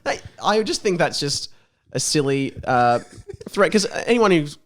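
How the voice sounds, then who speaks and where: A man's voice: fairly high pitch, expressive, a little slow, fairly bright tone. A man chatting casually and jokingly as a podcast guest.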